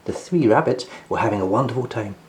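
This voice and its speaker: A man narrating a children's storybook as host, in an expressive read-aloud style. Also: mid-range voice, fast, a little hiss or hum.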